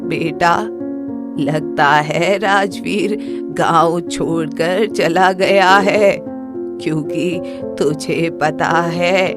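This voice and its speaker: A woman voicing a gentle old village mother in a cartoon, speaking softly and with care. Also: on the low side, fairly even intonation, drawn-out words, loud music behind the voice. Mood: caring. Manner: soft and caring.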